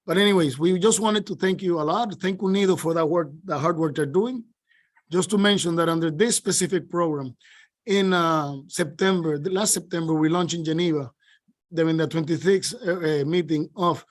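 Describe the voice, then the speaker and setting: Spanish-accented man giving formal remarks. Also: on the high side. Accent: Spanish-accented English. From Dominican Republic. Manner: earnest, with hesitant fillers and restarts. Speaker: official speaker at a council meeting.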